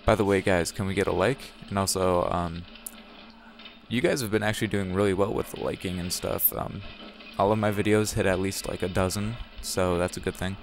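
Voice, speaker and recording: A young man with a slightly raspy voice, giving casual commentary over his own gameplay footage and often correcting himself as he talks. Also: deep voice, fairly even intonation, brisk. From Wisconsin, USA. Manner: rambling, self-correcting, chatty. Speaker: gaming channel host. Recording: music playing behind the voice.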